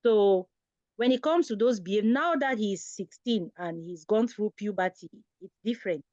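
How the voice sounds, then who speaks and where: A woman's voice: medium pitch, moderate pace, darkish tone, no room sound. Woman storytelling on a dull, dry recording. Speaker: guest parent on a talk show. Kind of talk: personal conversational storytelling.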